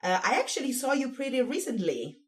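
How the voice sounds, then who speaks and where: A woman's voice: high voice, sing-song, a little fast. A young woman co-hosting a casual science podcast, a little nasal from a cold and laughing often. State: has a cold, slightly nasal. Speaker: podcast co-host.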